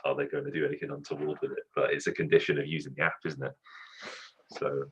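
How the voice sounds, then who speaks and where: A man's voice: very deep voice, level tone, fast talker, slight background noise. A man hosting an online student society event, friendly and hesitant, with many ums and restarts.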